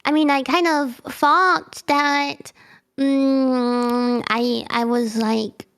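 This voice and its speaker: Woman, high voice, first language German, giggly, casual interview chat. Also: flat delivery, extremely slow, fairly bright tone, clean tone. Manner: bubbly, giggly, restarts often. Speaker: guest streamer.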